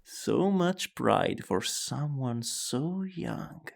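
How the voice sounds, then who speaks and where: Woman doing a slow, creaky sinister taunter. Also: very deep voice, expressive, exaggerated voice. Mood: mocking. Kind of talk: roleplay audio drama acting.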